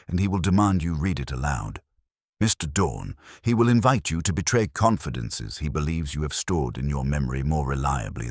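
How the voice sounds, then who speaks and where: Man voicing a deep, commanding master detective. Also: no echo, clean studio sound. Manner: formal, precise, authoritative. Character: commanding, shrewd male master detective, formal and unhurried. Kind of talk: detective audiobook character voice.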